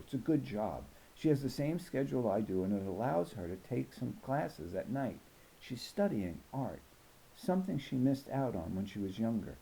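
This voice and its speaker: A man reads a children's novel aloud as a storyteller. His voice is very soft-edged and warm, with some gravel in it. The delivery moves up and down expressively from phrase to phrase. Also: medium pitch, rough recording. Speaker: audiobook reader.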